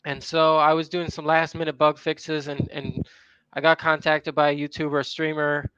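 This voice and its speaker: A man's voice: fairly high pitch, level tone, normal speed, fairly bright tone, clear, resonant tone, no echo, highs cut off. A man who is a guest on a live show and a game developer, talking casually and hesitantly, with lots of fillers and restarts. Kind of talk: casual chat.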